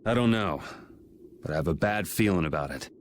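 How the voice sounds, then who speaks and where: An actor playing a blunt, determined young hero with a casual, direct way of talking in a video game cutscene. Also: male voice, sits low, lively intonation, brisk, slight background noise.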